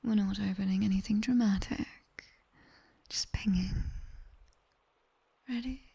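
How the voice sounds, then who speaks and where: A British woman playing a sharp-tongued nightmare demoness tutor in an ASMR roleplay, mostly whispering slow, commanding, dryly teasing instructions. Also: medium pitch, steady tone, vocal fry, slightly rough, exaggerated voice. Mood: calm, instructing. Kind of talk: ASMR roleplay, guided body scan.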